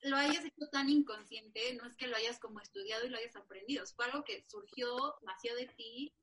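An enthusiastic young woman interviews a friend, encouraging and animated. Her voice sits a little high. She sounds set back from the microphone, with a faint hiss behind her. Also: moderate pace. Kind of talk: casual interview chat. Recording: distant mic with faint noise.

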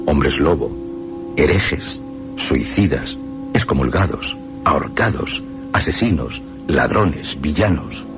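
A man's voice: extremely low pitch, expressive, slow. A man reading quoted texts aloud on a radio program in a somber, weighty recitation. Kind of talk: solemn scripted reading. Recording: radio broadcast with clear music bed.

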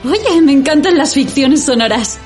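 A woman's voice: high-pitched, expressive, moderate pace, a little mellow, faint music behind. A woman playing an opinionated fictional character in an audio drama. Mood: playful. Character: opinionated female fictional character, partner of her writer.

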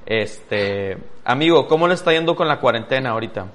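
Male podcast host, mid-range voice, relaxed informal interviewing, encouraging. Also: mid-range voice, fairly quick. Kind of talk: casual conversational interview.

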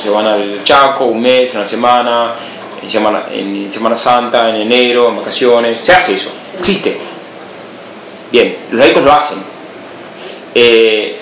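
A man's voice: mid-range voice, fairly bright tone, reverberant, highs cut off, a little hiss or hum. A missionary priest from Buenos Aires with an Argentine accent, giving an informal talk to a small group and answering his own rhetorical questions with a firm no. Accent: Argentine Spanish, Buenos Aires.

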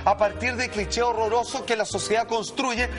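A man with a Chilean accent giving animated, slangy, emphatic commentary, speaking straight to his listeners. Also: very high-pitched, moderate pace, over music. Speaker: talk show commentator.

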